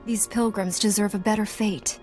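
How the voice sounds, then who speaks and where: A woman's voice: medium pitch, steady tone, normal speed, darkish tone, soft background music, lightly acted. A woman playing a confident, eager young warrior apprentice in a video game. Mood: self-assured.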